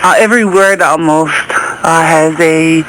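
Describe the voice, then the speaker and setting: A woman's voice: deep voice, fairly even intonation, a little slow, a bit bright, slight background noise, poor audio. A woman guest in a radio studio explaining a song, modest and giggling often. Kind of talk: casual radio interview.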